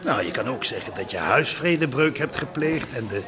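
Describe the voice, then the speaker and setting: A man's voice: medium pitch, animated, normal speed, crowd noise, close to an everyday voice. Actor as a patronising, easygoing big-city policeman. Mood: threatening. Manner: colloquial, patronising. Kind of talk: naturalistic radio drama acting.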